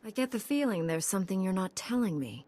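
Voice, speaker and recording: An actress playing a calm, direct young heroine knight in a video game cutscene. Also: female voice, mid-range voice, quick pace, lightly acted. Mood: steady, concerned.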